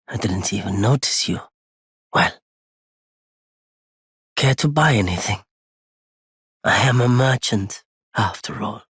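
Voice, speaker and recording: An actor playing a wary, proud nomadic merchant in a video game, with a husky, gravelly voice and a weighty, theatrical delivery. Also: male voice, medium pitch, a bit bright, no room sound, clean studio sound, full character voice. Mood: wary, mild. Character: wary, courteous nomadic male merchant turned bitter and vengeful. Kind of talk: scripted game character lines.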